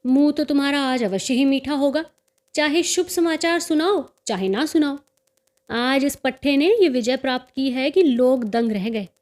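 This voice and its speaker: Woman voicing a boastful, high-voiced teenage dandy. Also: normal speed. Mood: boastful, cheerful. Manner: boastful, excitable storytelling. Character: cheerful boastful teenage dandy and bird-fighting enthusiast.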